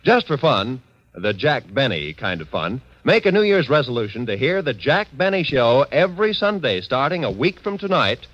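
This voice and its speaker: Lively American vintage radio announcer, male. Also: medium pitch, moderate pace. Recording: dull old radio broadcast.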